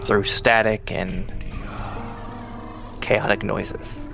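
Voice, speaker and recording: A man running a tabletop horror roleplay as game master, halting and repeating words as he goes. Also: on the low side, flat delivery, vocal fry, over music.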